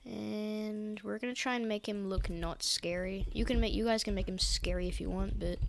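A teenage girl with a croaky voice from being sick, casually narrating a game build tutorial with rambling, hesitant self-corrections. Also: medium pitch, steady tone, normal speed, slight background noise. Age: teens. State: croaky from illness.

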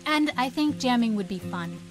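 An actress playing a warm, tentative young woman who works at a cafe, in a naturalistic audio drama. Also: a little high, expressive, normal speed, over music, natural voice. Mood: playful, eager.

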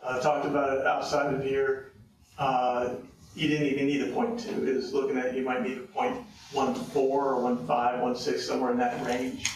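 A man's voice: mid-range voice, completely flat delivery. Tired man at a council podium, rambling explainer, echoey distant mic, faint hiss. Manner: rambling, with many filler pauses. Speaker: public commenter on tax policy. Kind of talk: explaining at a public meeting. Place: county council meeting room. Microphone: podium mic, heard from a distance. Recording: echoey room, far from the mic.

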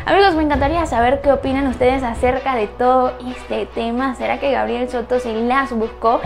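High, gravelly gossip host. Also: female voice, fairly even intonation, brisk, faint music behind. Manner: chatty, dramatic, lots of fillers. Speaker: celebrity news host. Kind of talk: gossip news presenting. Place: studio in front of a screen backdrop.

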